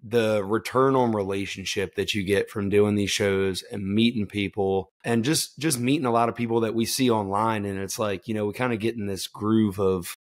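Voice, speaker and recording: Hoarse low-voiced male podcast guest. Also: moderate pace, few pauses. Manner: relaxed and appreciative, fills with "uh" and "you know". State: hoarse from a cold. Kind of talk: casual podcast conversation.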